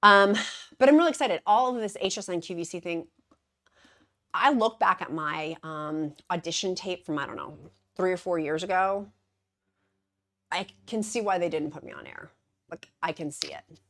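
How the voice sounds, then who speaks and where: Brief: upbeat woman vlogger, chatty and effusive, slightly low voice, lots of asides. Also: moderate pace, pauses often, a bit bright. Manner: bubbly, gushing, self-deprecating, rambling asides. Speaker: vlogger, weight-loss journey host. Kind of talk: casual chatty vlog to camera.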